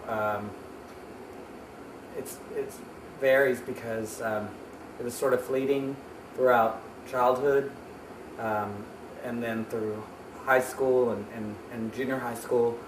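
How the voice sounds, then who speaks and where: A man's voice: mid-range voice, fairly even intonation, takes it slowly. A man in his thirties answering interview questions thoughtfully, with frequent hesitations and restarts. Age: 30s. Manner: hesitant, many ums and restarts. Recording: roomy, distant mic, background noise.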